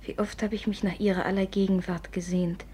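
An actress in a German radio play, playing a proud, sharp-tempered young upper-class woman in a theatrical manner. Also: mid-range voice, flat delivery, fairly quick, slight background noise, lightly acted. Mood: tender, hushed.

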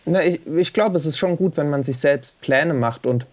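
Measured male neuroscientist. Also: a little high, darkish tone. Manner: measured, explanatory, academic. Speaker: neuroscientist guest. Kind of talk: radio interview.

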